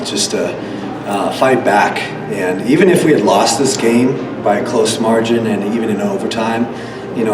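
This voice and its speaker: A man coaching a team, slightly hoarse from a cold, answering press questions with many fillers and restarts. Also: lowish voice. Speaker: head coach. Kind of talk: post-game press conference answers. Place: press conference room. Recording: echoey room, distant mic, background noise.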